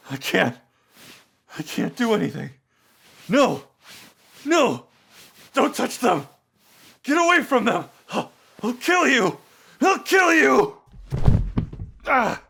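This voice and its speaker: A man's voice: medium pitch, creaky, pushed and bright, breathy edge, heavily acted. A man playing a proud, bratty wounded knight with a haughty, grumbling manner in a fantasy audio roleplay. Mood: panicked nightmare, then irritated, proud. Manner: haughty, grumbling, reluctantly vulnerable. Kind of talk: scripted audio roleplay addressed to the listener.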